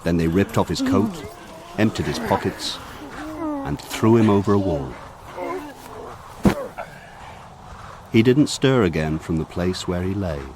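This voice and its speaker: Reflective male storyteller, deep voice, measured literary narration for radio drama, noticeable background noise. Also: animated. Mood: grave. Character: reflective adult narrator recalling his village childhood. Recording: clearly noisy background.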